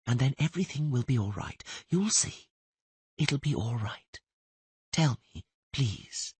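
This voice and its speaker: A man doing a gentle, coaxing sorceress. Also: medium pitch, lively intonation, a little slow, husky, dry recording, voice pushed up. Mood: soothing. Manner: quiet, gentle, soothing, coaxing. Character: mysterious gentle sorceress soothing a dying man.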